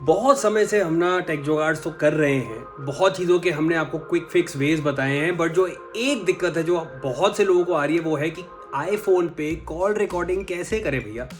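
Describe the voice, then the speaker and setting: A man with an Indian accent and a fairly high voice presents as a tech host. He explains things casually and conversationally, switching languages as he goes, with music playing behind him. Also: normal speed, hardly any pauses. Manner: conversational, switches between Hindi and English. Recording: music under the voice. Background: background music.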